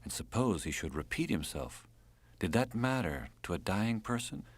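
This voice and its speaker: A man's voice: lowish voice, big pitch swings, moderate pace, slightly rough. A man with an American accent reading a literary audiobook as narrator.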